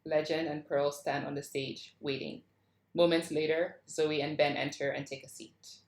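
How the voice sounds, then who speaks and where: A woman's voice: low-pitched, little pitch movement, moderate pace, darkish tone. A woman reading screenplay stage directions aloud at a table read as scripted narration. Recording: echoey room.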